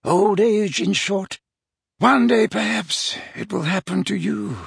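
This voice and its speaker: A man puts on the voice of a gentle old wizard headmaster, unhurried and politely wry even when cornered. The tone sits a little higher than the reader's own, with a husky edge and a dry, close sound. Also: no room sound, heavily acted. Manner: quiet, measured, courteous. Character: aged calm wizard headmaster, gentle and dignified.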